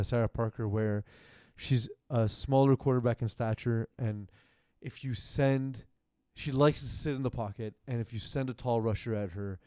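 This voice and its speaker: Brief: male co-host, mid pitch, lively rambling chat, dry studio sound. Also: dry recording. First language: not French. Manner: animated, quick asides, restarts. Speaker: podcast co-host. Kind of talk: casual sports chat.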